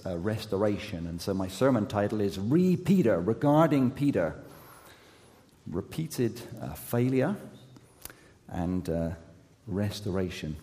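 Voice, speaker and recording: A man preaching a sermon as an associate youth pastor, his voice going hoarse, speaking haltingly with many fillers and restarts and gentle self-deprecating humor. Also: on the low side. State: losing his voice. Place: church sanctuary. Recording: long hall reverb.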